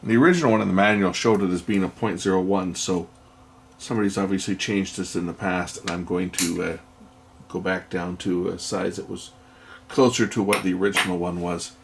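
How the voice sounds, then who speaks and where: A man's voice: sits low, fairly even intonation, fairly quick, vocal fry, noisy background. A man giving unscripted, hands-on repair commentary, explaining matter-of-factly and thinking aloud as he works. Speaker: electronics repairer and video host. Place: indoors at a repair workbench.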